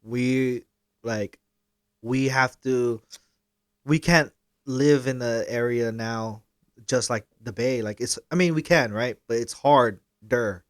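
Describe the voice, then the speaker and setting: A young man hosting a podcast in casual chat, animated and hesitant, often getting louder when excited. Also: medium pitch, takes it slowly, no room sound, clean studio sound. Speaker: podcast host.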